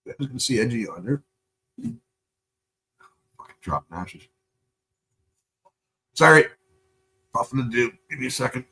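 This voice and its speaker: A man from Canada streaming live, chatting loosely and profanely with lots of fillers, and he is high. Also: expressive, unhurried, gravelly, pauses often, pushed and bright, husky, poor audio. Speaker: live streamer reacting to videos. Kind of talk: casual rambling stream chat.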